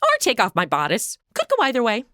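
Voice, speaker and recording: A woman's voice: fairly high pitch, huge pitch swings, quick pace, bright, forceful tone, no room sound, studio quality, exaggerated voice. A woman playing a sarcastic modern wisecracker posing as a minstrel in a comedy audio drama, with casual slangy delivery. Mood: cheeky.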